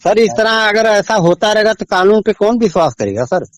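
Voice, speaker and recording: Male caller, eastern Uttar Pradesh accent, higher-pitched, dry sound, halting, deferential answers on a phone call. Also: lively intonation, dry recording. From Azamgarh region, India. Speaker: village complainant, caller. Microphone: recorded phone call.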